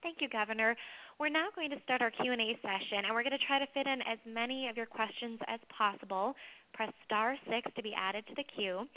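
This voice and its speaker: A woman's voice: fairly high pitch, bright, forceful tone. A woman moderating a conference call over the telephone, giving procedural announcements to callers. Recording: muffled telephone conference line.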